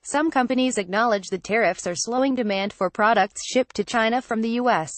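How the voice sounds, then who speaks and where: A woman narrating a scripted financial news article in a measured, formal read-aloud delivery. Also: fairly high pitch, no room sound.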